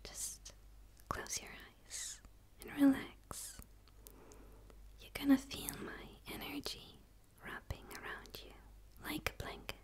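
Woman playing a whispering, curious alien creature. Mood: soothing. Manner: slow, soothing, hushed delivery with pauses. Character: gentle, curious dark female forest alien. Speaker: ASMR roleplay performer. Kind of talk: whispered ASMR roleplay.

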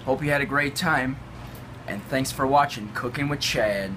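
A man's voice: medium pitch, normal speed. A man speaking casually to camera in an indoor work space. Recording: noisy room, camera recording.

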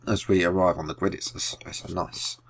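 Man, very low voice, sniffly, rambling gameplay chat. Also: flat delivery, brisk, vocal fry, a little hiss or hum. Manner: rambling, self-correcting, apologetic. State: sniffly from a cold or hay fever. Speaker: gaming video host. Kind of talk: casual gameplay commentary.

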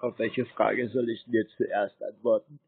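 A man making a prank phone call in a deadpan, naive manner. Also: on the low side, unhurried, gravelly, breathy edge, no echo. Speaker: prank caller, scam baiter. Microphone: telephone.

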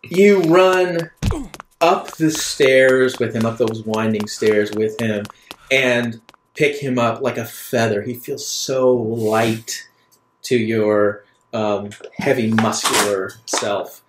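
A man running a tabletop role-playing game as game master, improvising narration in a halting, deliberate way with dramatic pauses. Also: medium pitch. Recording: roomy echo with some background noise.

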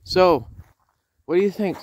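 A fairly high-voiced man talks casually into a handheld phone outside on a frozen lake shore. He jokes, laughs and teases the friends around him. A faint hiss of outdoor noise sits under his voice. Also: measured pace. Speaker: vlogger filming an ice fishing outing. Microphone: handheld phone camera.

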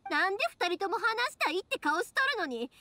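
A woman's voice: extremely high pitch, very animated, quick pace, sharp tone, heavily acted. A woman playing a frank, stubborn young fireworks maker with a Kansai accent in a Japanese anime game. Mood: pleading.

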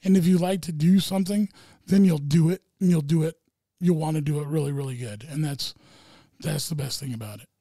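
Brief: male stand-up comic, mellow tone, higher voice, rambling casual podcast talk. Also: brisk, no echo, clean studio sound. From Wyoming. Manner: loose, rambling, swears a lot. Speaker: stand-up comedian, podcast guest. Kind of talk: casual chat.

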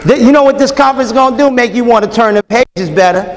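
A man's voice: very high voice, fast. A man in his thirties preaching at a conference in a large ballroom, joking and calling out to the crowd for answers. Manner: animated, joking, call-and-response with the crowd. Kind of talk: lively conference sermon. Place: large hotel ballroom with a live audience. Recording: long hall reverb, faint crowd.

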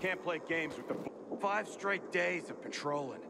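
A tired male patrol guard from a video game. He grumbles in a creaky, fairly high voice. Loud noise lies behind it. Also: moderate pace. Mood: weary, curt.

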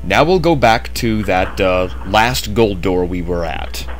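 A man's voice: deep voice, lively intonation, unhurried. A man narrating a game walkthrough in a chatty way with jokey asides, just woken up. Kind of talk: casual gameplay commentary.

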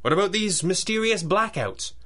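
An actor playing a brooding, wealthy masked crime-fighter in a 1930s-style radio adventure, deliberate and articulate. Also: male voice, high-pitched, lively intonation, sharp tone, exaggerated voice. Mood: grave, analytical.

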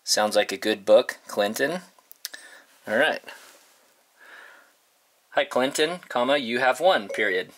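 A man in his thirties with a bright, forward voice and a middling pitch. He talks like a casual vlogger, rambling to his viewers and often feeling his way through a thought out loud. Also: expressive. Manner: informal, halting, thinking aloud. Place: inside a parked converted school bus.

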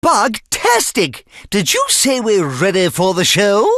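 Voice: mid-range voice, wildly swooping pitch, takes it slowly, dry recording, studio quality, exaggerated voice. An actor voicing a friendly, chatty cartoon bug who hosts a children's show, exclaiming, making puns and breaking into song. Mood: upbeat. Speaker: show host. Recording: loud music behind the voice.

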